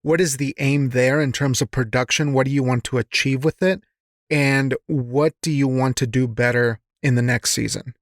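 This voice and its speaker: Guy interviewing on a podcast, mid-pitched, very dry sound, encouraging and chatty. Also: steady tone, moderate pace, dry recording. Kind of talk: casual interview chat.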